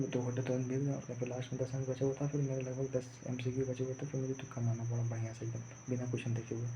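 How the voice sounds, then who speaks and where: A man's voice: mid-range voice, warm tone. Young guy just rambling through a story, dull muffled audio with faint noise behind. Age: young adult. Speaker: vlogger recounting own exam day.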